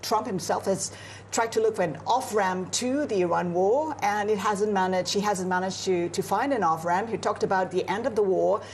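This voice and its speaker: A woman's voice: mid-range voice, normal speed, a little hiss or hum. A woman from Singapore, a news anchor, analysing world affairs in a live broadcast discussion with frequent fillers. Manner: fluent, analytical, many "you know" fillers. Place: on location in Beijing.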